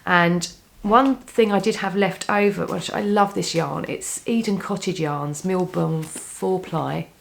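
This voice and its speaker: A woman with a cold, sounding a little croaky, chatting casually to camera about her knitting plans, rambling with frequent restarts. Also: fairly low pitch. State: has a cold, slightly croaky. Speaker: knitting vlogger.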